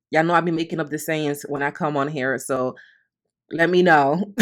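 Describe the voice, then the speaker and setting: Low voiced new woman, raised in the bronx, doing a heartfelt solo podcast, repeats stuff for emphasis. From Honduras, raised in the Bronx, New York. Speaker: podcast host and mentor. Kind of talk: reflective solo podcast monologue.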